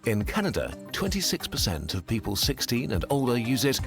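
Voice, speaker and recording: A man giving scripted explainer narration with a lively, clear delivery. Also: mid-range voice, brisk. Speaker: educational video narrator. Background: background music.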